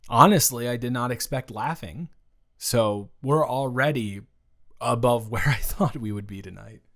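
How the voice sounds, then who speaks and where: A man playing an awkward, considerate ex-boyfriend in an improvised audio roleplay, speaking conversationally in a halting, stammering way. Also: medium pitch, lightly acted. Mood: awkward, amused. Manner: hesitant, halting, stammering, with nervous chuckles. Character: awkward, considerate young ex-boyfriend, nervously teasing.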